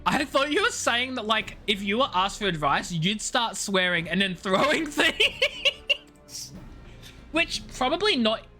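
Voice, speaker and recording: A woman streamer gives casual, playful commentary and chatty asides. Her voice is bright and swings widely in pitch, with faint music behind it. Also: big pitch swings, unhurried. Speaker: video game streamer.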